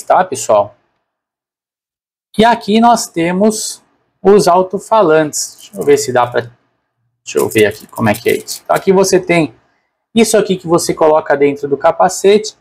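A man's voice: fairly high pitch, lively intonation, moderate pace, a little mellow. A man with a Brazilian Portuguese accent narrating a product unboxing casually and unscripted, informally thinking aloud as a reviewer.

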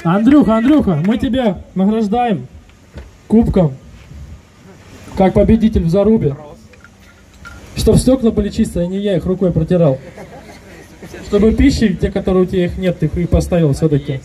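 A man in his thirties hosting a car audio contest outdoors on a handheld mic, loudly calling to the crowd and announcing results. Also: sits high, moderate pace, pauses often, rough recording. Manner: loud and rousing, calling to the crowd. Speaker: event host.

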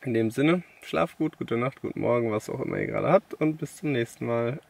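A young man talks to his vlog audience in a mid-pitched voice with plenty of creak. His delivery is casual and colloquial. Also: wildly swooping pitch, brisk, a little mellow. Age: young adult. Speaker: travel vlogger.

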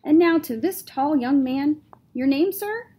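A woman voicing a brisk adult female TV news reporter with a polished, upbeat on-air delivery. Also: high-pitched, lively intonation, warm tone, pitched above the performer's own voice. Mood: encouraging.

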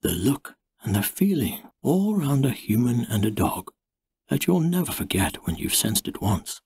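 Expressive male audiobook storyteller, lively pitch. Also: a little slow, slightly rough, dry recording, studio quality. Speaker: audiobook narrator. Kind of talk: scripted storybook narration, first-person tale.

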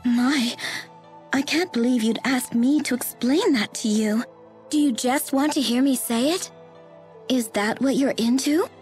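A woman's voice: high voice, a little slow, a little mellow, faint music behind. A woman playing an airy, coquettish young female spirit in a video game dub. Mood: coy.

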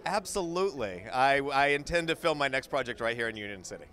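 A man's voice: medium pitch, expressive, normal speed, bright, forceful tone, clean tone, dry recording. A middle-aged man, a director and producer, chatting casually in a dry, tongue-in-cheek way as an interview guest. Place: indoor arts centre lobby. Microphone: handheld interview microphone.

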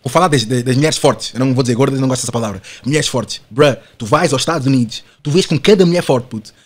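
A man in his twenties speaks with a European Portuguese accent at a rapid clip. His casual podcast talk is animated and full of slang, fillers and tag questions. The sound is dry and close, without room echo. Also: medium pitch. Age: 20s. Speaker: podcast guest.

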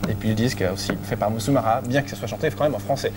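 A man in his thirties hosting a studio interview on a TV set, talking on a lapel mic with brisk, chatty asides. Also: mid-range voice. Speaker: TV host. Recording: noisy 1980s TV broadcast tape.

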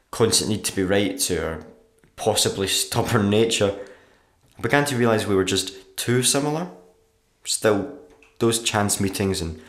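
A man acting in a radio play as a weary, morbidly deadpan struggling writer reading out his diary. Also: low-pitched, reverberant, lightly acted. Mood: wistful, wry. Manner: deadpan, dry.

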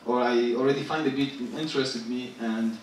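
A young man in his twenties from Syria, a rapper talking on a theater stage, halting and searching for words. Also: mid-range voice, fairly even intonation, distant mic, a little hiss or hum. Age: 20s. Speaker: rapper and guest artist. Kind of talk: stage talk. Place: large theater stage with live audience. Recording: echoey stage, picked up from afar.

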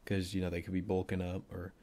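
A young man with a cold and a voice deeper than usual, casually rambling through a football film breakdown with plenty of fillers. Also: monotone, fast, mellow. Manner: rambling, offhand, thinks aloud with many fillers.

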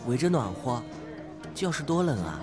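An actor playing an earnest, oblivious teenage class monitor who talks in a preachy, naive way in an audio drama. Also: male voice, mid-range voice, very animated, moderate pace, music in the background, natural voice. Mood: innocent, kindly. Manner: preachy, matter-of-fact, naive. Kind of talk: modern audio drama acting.

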